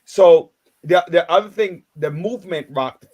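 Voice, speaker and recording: A man in his fifties from Jamaica with a Jamaican accent, hosting a radio talk show in a halting way, with many restarts and filler words. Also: a little high, darkish tone. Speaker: radio host.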